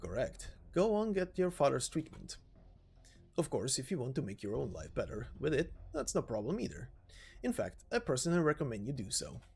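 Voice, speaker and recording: A man voicing a smooth, scheming male benefactor who makes shady offers politely and persuasively in a game story. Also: mid-range voice, big pitch swings, a little fast, darkish tone, faint music behind, full character voice. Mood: coolly generous.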